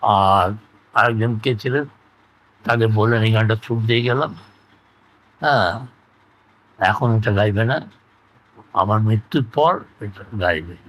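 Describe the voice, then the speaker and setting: An elderly man reminisces in casual conversation, reflective and halting, often repeating himself. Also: fairly low pitch, fairly bright tone, low-quality recording. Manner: halting, reflective, trailing into repetitions. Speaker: interview guest, retired engineer recalling life.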